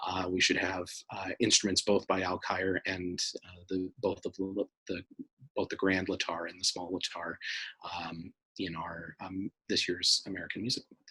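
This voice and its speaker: A man giving an informal, halting and thoughtful explanation of archive items as an interviewed staff member. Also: low voice, flat delivery, pushed and bright, breathy edge, low-quality recording.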